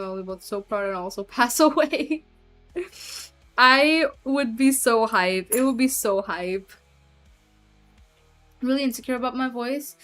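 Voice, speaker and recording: A woman's voice: fairly high pitch, a bit bright. A woman streamer chatting casually with her viewers and reading chat aloud, giggling often and trailing off with fillers. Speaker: streamer and hobby voice actor.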